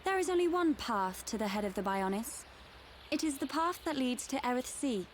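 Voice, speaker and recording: A woman's voice: high voice, lively intonation, moderate pace, a little hiss or hum. An actress playing a formal, haughty young noblewoman with a posh British accent in a video game. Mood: courteous, composed. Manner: formal, stately, aloof. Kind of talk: video game cutscene acting.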